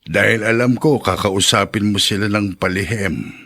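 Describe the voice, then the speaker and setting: An actor playing an ageing, apologetic Filipino father in a radio drama, gravelly and husky, earnest and pleading. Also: on the low side, moderate pace, fairly bright tone.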